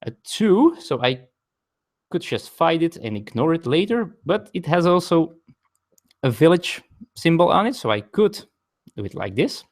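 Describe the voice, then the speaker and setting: A man with a non-native English accent talks through a board game from his table at home. His pitch rises and falls a lot as he explains each rule. He chats with viewers between turns and often stops to correct himself. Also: sing-song, measured pace. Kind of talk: live-streamed board game play explaining rules.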